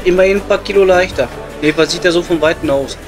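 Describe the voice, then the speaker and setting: Man acting as coarse Ruhr miner, hard-drinking pub regular, high-pitched, scornful, colloquial, music behind. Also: fast, close to an everyday voice. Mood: disdainful gossip. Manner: blunt, crude, colloquial. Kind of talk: improvised comedy audio play.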